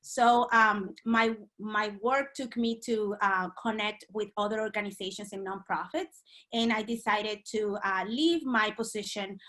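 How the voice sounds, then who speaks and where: Bright-voiced woman, hesitant, from Dominican Republic. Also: medium pitch, steady tone, unhurried. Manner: hesitant, many ums and uhs. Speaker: guest. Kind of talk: remote podcast interview.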